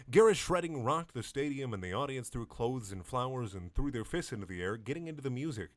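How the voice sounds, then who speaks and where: A man reads fan fiction aloud in a deadpan, mock-serious voice, dropping in wry asides. His voice sits at an ordinary pitch. The recording is close and dry. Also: no echo. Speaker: dramatic reader of fan fiction. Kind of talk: comedic deadpan reading of bad fan fiction.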